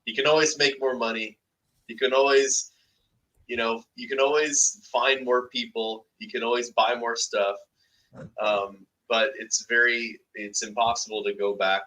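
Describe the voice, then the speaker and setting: A man in his late thirties, a business founder, speaking as a guest over a video call in a measured, explanatory way. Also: mid-range voice, fairly even intonation, pushed and bright, rough recording. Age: 30s. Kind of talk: casual interview chat.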